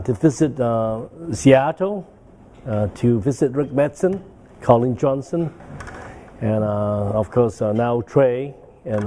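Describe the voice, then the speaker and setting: Older guy giving a conference talk, lots of uh and okay?, warm relaxed voice. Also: medium pitch, slight background noise. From Hong Kong. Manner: rambling, with frequent "uh" and "okay?" tags. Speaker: guest lecturer. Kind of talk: medical conference lecture. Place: banquet hall.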